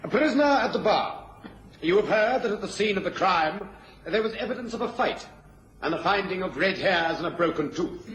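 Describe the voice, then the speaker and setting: A pompous defence barrister in a radio play. He declaims in a high-pitched voice, and the sound rings with room echo. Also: expressive, moderate pace, a bit bright. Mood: brisk questioning. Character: pompous, theatrical defence barrister addressing a court. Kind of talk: radio drama courtroom oratory. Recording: echoey courtroom sound, radio drama.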